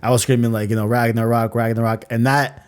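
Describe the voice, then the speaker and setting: A man's voice: mid-range voice, flat delivery, quick pace, a little mellow, clear, resonant tone. A man hosting a casual podcast, rambling and often repeating "you know what I'm saying.". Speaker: podcast host.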